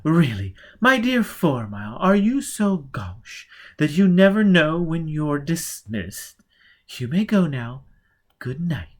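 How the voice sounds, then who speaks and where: A woman's voice: deep voice, very animated, slow pace, voice pushed up, full character voice. A man voicing an aloof, fierce aristocratic lady. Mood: gracious, dismissive. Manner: swings from hushed intensity to airy, gracious dismissal. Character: aloof aristocratic young woman, fierce under a gracious surface. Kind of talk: audiobook character voice.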